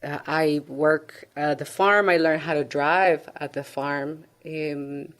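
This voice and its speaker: Low-voiced woman from El Salvador. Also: steady tone, slow pace, a bit bright. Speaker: guest, coffee business owner. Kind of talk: radio interview conversation.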